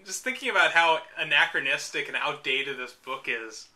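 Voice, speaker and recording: Young man, high bright voice, sarcastic reading, dry wisecracks. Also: lively intonation, normal speed. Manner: mocking, dry asides between read passages. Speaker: host reading a book aloud. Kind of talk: reading aloud with sarcastic commentary.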